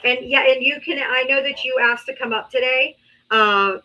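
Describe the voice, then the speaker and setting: A woman's voice: sits high, steady tone, normal speed, sharp tone, dull audio. A woman hosting a live political debate stream, blunt and scolding, swearing freely at callers.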